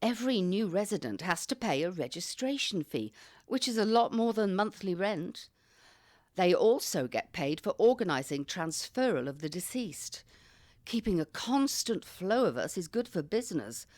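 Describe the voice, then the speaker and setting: Lively, excitable old woman. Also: lowish voice, moderate pace. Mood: conspiratorial. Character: old female care home resident, suspicious, excitable, nimble. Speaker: voice actor. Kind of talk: audio drama acting.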